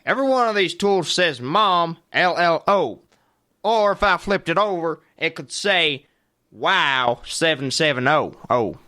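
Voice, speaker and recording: Man acting a folksy western lawman, lively and high. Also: measured pace. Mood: commanding. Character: folksy, exasperated old west lawman keeping order. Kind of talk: comedic western radio play.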